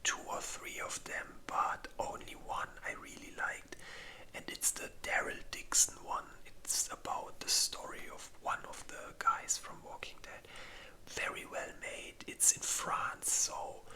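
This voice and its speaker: A breathy, unvoiced whisper in a casual ASMR style, hesitant and informal, giving opinions close to the microphone. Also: pushed and bright. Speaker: ASMR creator reviewing zombie movies.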